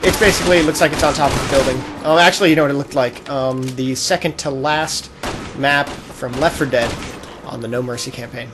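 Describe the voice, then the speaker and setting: A man's voice: mid-range voice, fairly quick, noisy background. A man co-hosting a casual podcast while playing a video game, often breaking off distractedly to react to what happens on screen. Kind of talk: casual chat over live gameplay. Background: video game sounds.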